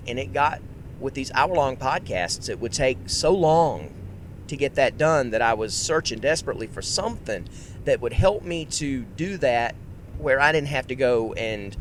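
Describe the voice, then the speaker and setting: A man hosting a casual solo podcast, talking in a rambling, self-correcting way and projecting his voice. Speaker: podcast host. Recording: faint road rumble underneath. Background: road noise.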